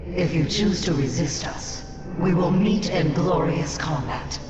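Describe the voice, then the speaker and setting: An actress playing a proud, stern alien warrior commander in a video game, speaking formally and imperiously with an echoing effect. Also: female voice, fairly low pitch, unhurried. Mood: stern, solemn. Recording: echoey, dull audio under loud music.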